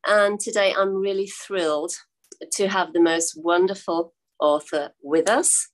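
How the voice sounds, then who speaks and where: An older woman hosts an interview over a video call from a room at home. Her voice sits a little low, and she talks in an encouraging way with drawn-out phrasing. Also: fairly even intonation. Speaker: host. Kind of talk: interview hosting. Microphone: video call webcam.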